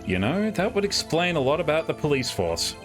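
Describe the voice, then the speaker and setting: A man's voice: on the high side, sing-song, fairly quick, soft background music. A man voicing a sardonic male defense lawyer with pointed, theatrical questioning in a live game fan dub. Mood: exasperated, wry.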